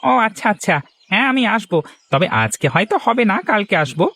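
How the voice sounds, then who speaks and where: A man's voice: high-pitched, very animated, fast, sharp tone, heavily acted. A man voicing an honest, polite, eager village vegetable seller in a cartoon. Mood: grateful, polite. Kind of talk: cartoon voice acting.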